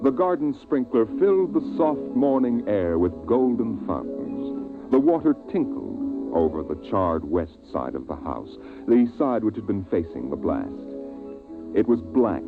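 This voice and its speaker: A man narrating a story in solemn, theatrical old radio drama style with deliberate phrasing. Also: fairly low pitch. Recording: tinny vintage radio recording, music behind.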